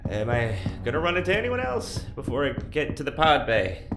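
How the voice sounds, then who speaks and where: A man playing a sardonic, defiant fugitive on a spaceship in an audio drama, with a dry, halting delivery. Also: medium pitch, very animated. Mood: wary, wry. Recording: echoey room, faint music behind.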